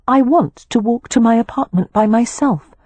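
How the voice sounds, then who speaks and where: A woman's voice: a little high, moderate pace, darkish tone, no echo, pitched above the performer's own voice, natural voice. A woman voicing a young wife who is frank and restless, speaking earnestly and objecting readily.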